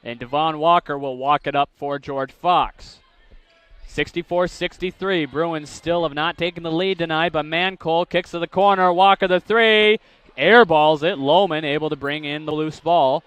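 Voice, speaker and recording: A young man calling basketball play-by-play, with rapid calls that climb sharply on big plays. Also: a little high, fairly even intonation, a bit bright, clean tone, no room sound. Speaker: play-by-play announcer. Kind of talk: live basketball play-by-play commentary.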